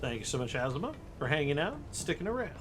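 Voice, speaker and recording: A man's voice: a little high, very animated, fast talker. A man giving casual, chatty live commentary as a video game streamer, informally talking to viewers. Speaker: streamer, speedrunner. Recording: game music behind the voice. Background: video game music.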